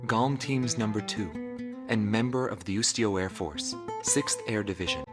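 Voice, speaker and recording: Actor as a sober low-voiced documentary reporter. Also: male voice, natural voice. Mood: matter-of-fact. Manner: measured, matter-of-fact. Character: sober male investigative reporter narrating pilot dossiers. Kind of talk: scripted documentary narration in a video game. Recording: loud guitar music behind.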